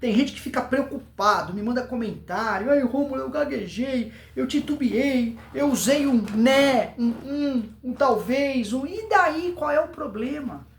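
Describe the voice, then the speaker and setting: A man's voice: extremely high pitch, animated, measured pace, hardly any pauses. A Brazilian man giving an informal public speaking lesson, emphatic, repeating words and answering his own questions. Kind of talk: informal instructional video to camera. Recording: roomy, echoing voice recording.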